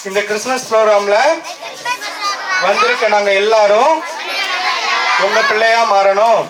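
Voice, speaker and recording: A man's voice: high voice, level tone, a little slow. A man telling a simple Bible story and leading prayer for children at a live program, in short phrases with questions. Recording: distant mic, noisy children's crowd.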